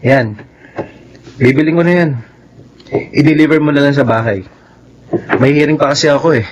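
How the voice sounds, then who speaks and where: A Filipino actor playing a jealous, menacing lawyer husband and younger brother in a radio melodrama, accusing and taunting. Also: male voice, fairly high pitch, moderate pace, lo-fi sound, a little hiss or hum, lightly acted. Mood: casual.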